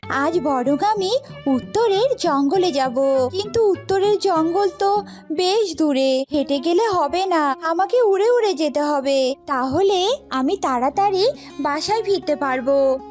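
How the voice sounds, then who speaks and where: Woman as a high-pitched friendly bird vendor. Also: animated, unhurried, darkish tone, soft background music. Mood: thoughtful. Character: Tuni, friendly bird flower seller.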